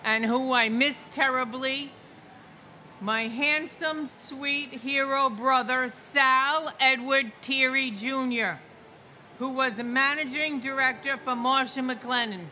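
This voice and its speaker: Solemn woman in her sixties, high bright voice, slow and emphatic memorial reader. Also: fairly even intonation, a little hiss or hum. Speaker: victim's family member reading names. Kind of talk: memorial ceremony name reading and speech.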